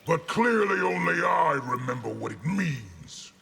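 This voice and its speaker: A man voicing a stern, scornful, zealous general in a video game, with a gravelly, imperious delivery. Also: a little high, big pitch swings, measured pace, exaggerated voice. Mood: fierce. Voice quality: gravelly, hard-edged. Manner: imperious, forceful. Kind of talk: video game fighting character dialogue.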